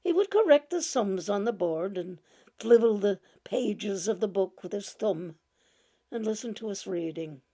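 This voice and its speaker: A woman reads a short story aloud at an unhurried, leisurely pace. Her telling is gentle and fond, with soft laughs coming through. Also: medium pitch. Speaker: narrator reading a short story. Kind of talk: storybook reading.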